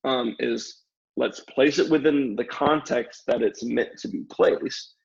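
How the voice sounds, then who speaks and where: Gravelly-voiced man in casual discussion, dull audio. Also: medium pitch, slow. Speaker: podcast guest.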